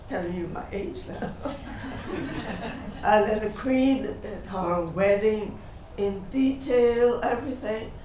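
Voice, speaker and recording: An older woman from Guyana, sharing personal memories in an unhurried, conversational way and chuckling between remarks. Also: medium pitch, darkish tone, slight background noise. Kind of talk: conversational storytelling. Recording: roomy hall, mic at a distance.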